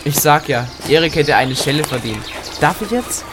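A man's voice: on the high side, lively intonation, normal speed, noisy background. A man acting in an amateur audio play with a complaining manner. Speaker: cast member playing himself in audio play. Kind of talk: amateur scripted audio drama dialogue. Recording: music behind the voice.

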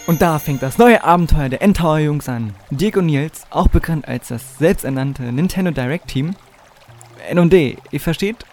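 A man's voice: on the high side, normal speed, a little mellow, lightly acted. A man playing a know-it-all storyteller who narrates a comic Christmas audio drama. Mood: storytelling. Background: music.

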